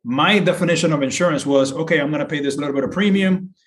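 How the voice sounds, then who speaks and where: A man's voice: on the high side, fast talker. A middle-aged man from Puerto Rico talking as an interview guest, explaining in an earnest, conversational way and repeating phrases for emphasis. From Puerto Rico, later south of Boston. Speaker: podcast guest, insurance broker.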